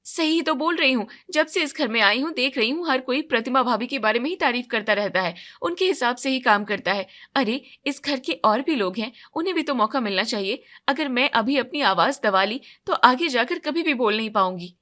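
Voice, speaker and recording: A woman's voice: a little high, expressive, fairly quick, few pauses, bright, forceful tone. A woman voicing a resentful, headstrong young bride, indignant and complaining, in an audio story. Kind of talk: acted dialogue in a Hindi audio story.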